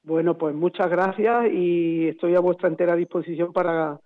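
A man's voice: high-pitched, steady tone, moderate pace. A man, a football coach speaking by phone, hesitant and repeating words. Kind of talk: phone interview. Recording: tinny phone line.

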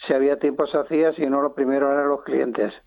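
An older man from Madrid, a businessman being interviewed on the radio, talking in a halting way with many pauses and restarts. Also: mid-range voice, flat delivery, gravelly, no room sound. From Spain (Madrid). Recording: dry, phone-line sound.

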